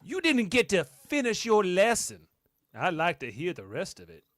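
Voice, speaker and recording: Man as starship captain, high voice, swooping intonation, curious, self-assured, radio drama. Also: wildly swooping pitch, moderate pace, fairly bright tone, dry recording, clean studio sound, heavily acted. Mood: probing, wry. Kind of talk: amateur radio play acting.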